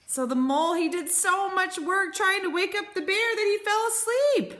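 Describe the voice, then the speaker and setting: A woman in her thirties reading a picture book aloud as a teacher, expressive and sing-song, explaining simply for children. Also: very high-pitched, moderate pace. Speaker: teacher reading to young pupils.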